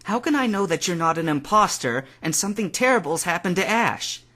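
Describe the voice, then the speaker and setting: A man voicing a defiant, hurt young woman in a high, tense, pleading voice. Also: animated, a little fast, sharp tone. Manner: raised pitch, tense and pleading.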